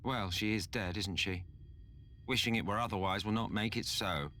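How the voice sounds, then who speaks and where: A man's voice: lowish voice, normal speed, bright, forceful tone, a little hiss or hum. An actor playing a sardonic, world-weary villainous nobleman in a video game, with a condescending, theatrical drawl. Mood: mocking, callous. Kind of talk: video game cutscene acting. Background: background music.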